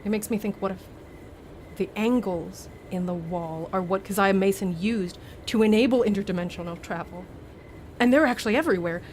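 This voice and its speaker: An actress playing a haunted, anxious young student in a radio play, with a halting, uneasy delivery. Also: female voice, mid-range voice, darkish tone, a little hiss or hum, lightly acted. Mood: uneasy musing. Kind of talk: dramatic radio play acting.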